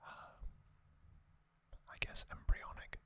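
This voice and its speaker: An ASMR host whispering trivia narration slowly and deliberately in short phrases, with a voice slightly strained from singing. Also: a bit bright. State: voice slightly off after singing hard.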